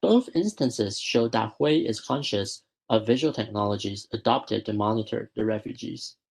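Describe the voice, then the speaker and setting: A young man presenting a prepared academic paper at a student research school, reading in a measured way and often correcting himself mid-word. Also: on the low side, gravelly, a little mellow. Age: young adult. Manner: measured, with frequent self-corrections.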